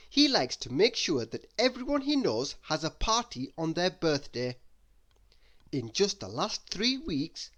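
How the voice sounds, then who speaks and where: Expressive man reading a children's story, lively pitch. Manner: expressive, deliberate storytelling with dramatic emphasis. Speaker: storybook reader. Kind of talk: read-aloud children's story narration.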